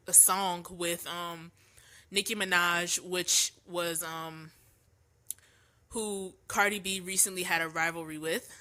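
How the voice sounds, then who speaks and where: A woman's voice: mid-range voice, bright, forceful tone. A woman hosting a podcast from home, talking casually and opinionatedly with slang and many ums, her voice a little congested from allergies. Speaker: podcast host.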